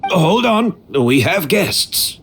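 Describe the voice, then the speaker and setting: An actor playing a kindly older master perfumer in a video game, fond and gracious with unhurried speech. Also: male voice, high-pitched, very animated, a bit bright, a little hiss or hum, exaggerated voice. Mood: fond, surprised.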